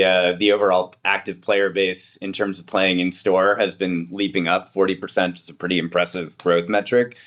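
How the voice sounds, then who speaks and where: A man speaking as a company executive, answering questions in measured business jargon on a conference call. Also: on the low side. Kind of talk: answering analyst questions, semi-formal business talk. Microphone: conference call line. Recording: muffled conference call audio.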